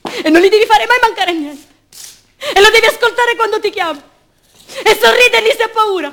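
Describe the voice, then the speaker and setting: A woman's voice: very high-pitched, creaky, sharp tone, heavily acted. An actress playing an anguished, fierce captive mother robbed of her baby, in an intense, insistent radio monologue full of repetition. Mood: pleading, tender.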